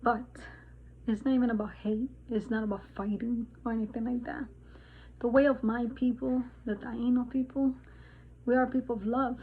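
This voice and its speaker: A woman's voice: fairly high pitch, little pitch movement, normal speed, husky. A woman in her forties from the Dominican Republic, chatting casually in a spiritual vlog, rambling with many fillers and laughs. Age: 40s. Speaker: vlogger. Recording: tinny phone-like sound.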